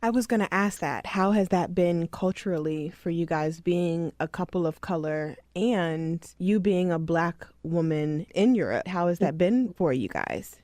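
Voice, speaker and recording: A woman's voice: fairly low pitch, animated, measured pace, hardly any pauses, no room sound, clean studio sound. A woman hosting a podcast, asking questions in an encouraging way. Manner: warm, encouraging listener. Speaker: podcast host. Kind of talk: casual interview chat.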